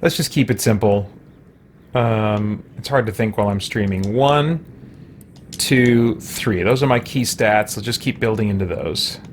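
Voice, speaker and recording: A man's voice: lowish voice, unhurried, a little hiss or hum. A man doing casual live gameplay commentary as a streamer, thinking aloud in a rambling, hesitant way. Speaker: game streamer and host. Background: game music.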